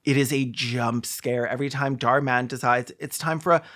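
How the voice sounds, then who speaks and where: A comedic YouTube commentator with a middle-pitched voice. The delivery is mocking and sarcastic, with exaggerated reactions and crude asides. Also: steady tone, normal speed.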